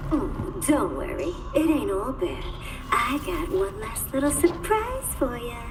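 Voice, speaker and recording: A woman's voice: high-pitched, wildly swooping pitch, slow, full character voice. A woman voicing a flippant, theatrical villain in a video game cutscene, colloquial, playful and drawling. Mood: mock wistful, playful. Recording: distant, echoey, very noisy recording.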